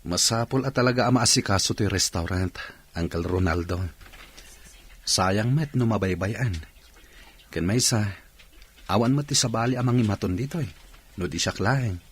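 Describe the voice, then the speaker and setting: A man's voice: lowish voice, normal speed, pauses often, breathy edge. An actor playing a dutiful, polite young man in a radio drama, speaking earnestly and respectfully. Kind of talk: radio drama acting in Ilocano. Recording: music under the studio voice.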